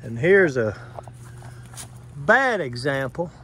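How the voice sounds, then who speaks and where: A man working as an HVAC technician, giving a plain, unhurried on-site explanation outdoors beside air conditioner units, recorded on a handheld phone camera. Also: a little high, big pitch swings, fairly bright tone, a little hiss or hum. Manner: plain, unhurried, points things out step by step.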